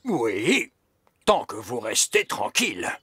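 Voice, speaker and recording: Stern old elf teacher, wildly swooping. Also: male voice, medium pitch, huge pitch swings, a bit bright, a little hiss or hum, exaggerated voice. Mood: instructive, stern. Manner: pedantic, measured, drawn-out praise. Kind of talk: children's cartoon voice acting.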